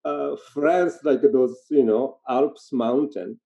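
An older man with a Japanese accent talks in a medium-pitched voice. His English is informal and halting, with non-native phrasing, as he tells stories conversationally. The sound is slightly dull and lo-fi. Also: creaky, mellow. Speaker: interview guest, performer in dance theater. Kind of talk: casual conversational storytelling.

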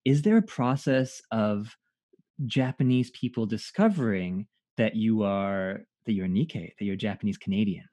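A man's voice: on the low side, moderate pace, dark tone. A man hosting an interview, asking curious, encouraging questions and laughing lightly. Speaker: interviewer host. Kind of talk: conversational interview.

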